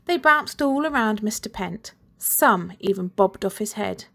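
Slow, expressive woman reading a storybook. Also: medium pitch, vocal fry. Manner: lively expressive reading, playful sound effects. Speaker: teacher reading aloud. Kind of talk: children's storybook read-aloud.

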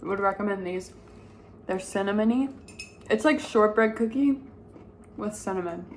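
A woman's voice: mid-range voice, normal speed, a little hiss or hum. A young woman chatting casually to the camera about food at home, upbeat and gushing. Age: young adult. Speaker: lifestyle vlogger. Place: home kitchen, indoors.

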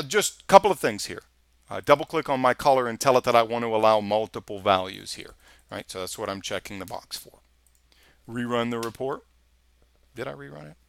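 A man with a Texas accent, walking through a software screencast in a casual, think-aloud way. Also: mid-range voice, dry recording. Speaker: software instructor. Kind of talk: screencast tutorial walkthrough. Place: voice-over of a screen recording.

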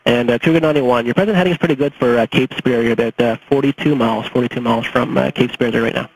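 A man's voice: medium pitch, fast talker, gravelly, slightly rough. A man working as an air traffic controller, giving instructions over aviation radio. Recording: faintly noisy air traffic radio recording.

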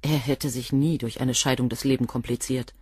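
An actress playing a cool, devoted assistant to a professor in a radio drama, answering questions in a guarded, curt way. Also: female voice, extremely low pitch, steady tone, normal speed, a little mellow, husky, no room sound, studio quality. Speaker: witness under questioning.

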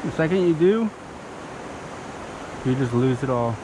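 A young man, excitedly narrating his kayak fishing from an action camera on a river. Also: medium pitch. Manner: excitable, exclaiming, instructive. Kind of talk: excited on-the-water commentary. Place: outdoors on a rushing river in a kayak. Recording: loud rushing water noise.

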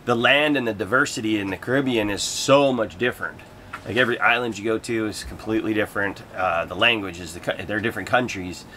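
A man's voice: lowish voice, fairly quick, pushed and bright, a little hiss or hum. A man from Canada with a Canadian accent, co-hosting a vlog in casual chat. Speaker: co-host of a sailing vlog. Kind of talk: casual chat, answering viewer questions.

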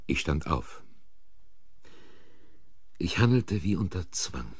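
A deep, heavily rasping man in a radio thriller. He is agitated and troubled, and his delivery swings widely between brooding and urgency. The sound is close and dry. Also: sing-song, takes it slowly, no room sound, full character voice. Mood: tormented, reflective. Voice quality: husky, raspy. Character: agitated male narrator drawn into covering up a killing. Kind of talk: German radio play acting, first-person narration and dialogue.

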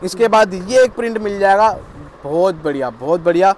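A man's voice: sits high, expressive, moderate pace, slight background noise. A man hosting a vlog, giving casual promotional commentary in a shop and repeating prices back to viewers in a salesy way. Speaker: vlog host presenting a clothing shop. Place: clothing warehouse shop, indoors.

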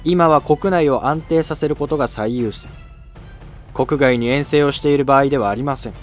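A man's voice: medium pitch, normal speed, soft background music, natural voice. A man playing a commanding empress in a historical drama, speaking formally and with emphasis. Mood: firm. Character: firm, commanding empress, an adult woman.

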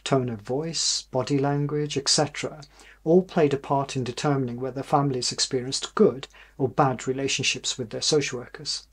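Middle-aged British man, slightly husky presenter. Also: mid-range voice. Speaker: university professor presenting research. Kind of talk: explanatory presentation to camera.

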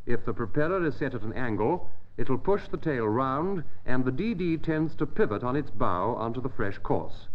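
A middle-aged man giving measured, precise, formal narration for a military training film. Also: mid-range voice, animated. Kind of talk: scripted instructional narration. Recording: dull lo-fi 1940s film soundtrack.

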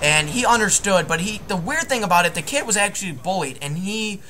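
A man's voice: high voice, animated, fairly quick, bright, forceful tone, slight background noise, low-quality recording. A young man telling a story casually and crudely, as host of a gaming video. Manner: crude, joking. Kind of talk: casual storytelling over gameplay.

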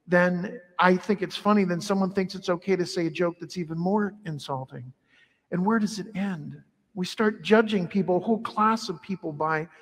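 High-voiced priest preaching in a reverberant church. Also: male voice. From Ireland heritage. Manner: animated, conversational preaching with wide arm gestures. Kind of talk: sermon. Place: large church sanctuary at the altar.

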